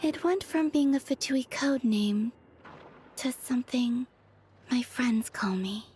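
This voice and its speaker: A woman voicing a gentle, mysterious young woman in a game cutscene, speaking in a soft, breathy whisper. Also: a little high, slow, slight background noise. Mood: dreamy.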